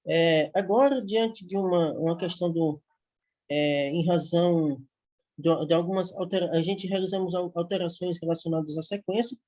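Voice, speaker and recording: A high-pitched man announces a schedule change, halting and restarting. The audio is dull and lo-fi. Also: darkish tone. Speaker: moderator. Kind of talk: announcing a schedule at an online conference.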